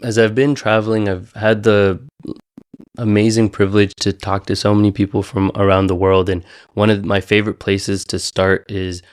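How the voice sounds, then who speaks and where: Man, lowish pitch, podcast host, reflective, conversational interviewing, dry close recording. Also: little pitch movement, normal speed, smooth, dry recording. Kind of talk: conversational long-form interview.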